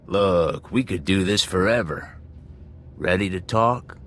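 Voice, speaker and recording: An actor playing a hard, businesslike thug interrogator in a game, giving orders in a curt, menacing, matter-of-fact way. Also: male voice, sits low, takes it slowly, noisy background. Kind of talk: video game drama acting.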